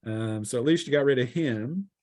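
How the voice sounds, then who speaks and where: A man with an average-pitched voice acts as game master at a tabletop game. He narrates casually and jokes and exclaims as he goes. The sound is dry, with little room in it. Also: moderate pace, a little mellow, no room sound. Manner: informal, jokey, exclaiming.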